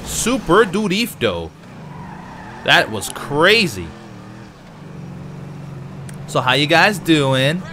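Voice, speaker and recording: A man's voice: fairly high pitch, drawn-out words, long pauses, a little hiss or hum. A man with a cold and a slightly nasal voice, chatting casually as he plays a video game for viewers. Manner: chatty, excitable, talks to viewers. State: has a cold, a bit nasal. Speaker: let's play host. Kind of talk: casual gameplay commentary.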